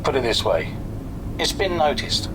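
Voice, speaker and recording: A man acts a businesslike racing team boss in a game cutscene. He is measured and approving, with a mid-pitched voice over a clear rumble. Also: very animated, close to an everyday voice. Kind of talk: naturalistic video game cutscene acting.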